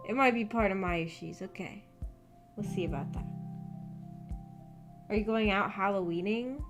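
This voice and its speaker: A young American woman with a mid-range voice chats to her livestream viewers over clearly audible background music. She hosts in a casual, hesitant way, thinking aloud and trailing off as she works things out. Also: medium pitch, normal speed. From United States. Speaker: live streamer, visual novel book club host.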